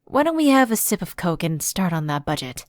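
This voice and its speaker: A woman plays an evasive office assistant who is really a fallen angel in hiding. Her voice sits a little low and is recorded close and dry, with a coaxing, conversational ASMR roleplay delivery. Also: sing-song, fairly quick, dry recording. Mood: nervously breezy. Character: chipper female personal assistant, secretly a fallen angel, evasive.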